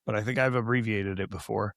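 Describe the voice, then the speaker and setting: Hoarse male co-host, gravelly and rambling, easygoing podcast talk. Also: fairly low pitch, fairly even intonation, fast talker, fairly bright tone, no room sound, clean studio sound. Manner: rambling, with many restarts. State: hoarse, recovering from lost voice. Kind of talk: casual podcast chat.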